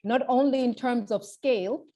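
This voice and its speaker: A woman with a Tanzanian accent, speaking in a measured, deliberate, explanatory way in a reflective interview. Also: a little high, dark tone. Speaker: interviewee, feminist public intellectual. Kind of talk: reflective oral history interview.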